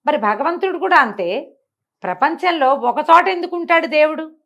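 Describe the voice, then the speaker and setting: High-voiced woman telling a folk tale. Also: expressive, moderate pace, a bit bright. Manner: conversational, explanatory, acts out dialogue, rhetorical questions. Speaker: storyteller. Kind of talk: animated oral storytelling of a moral folk tale.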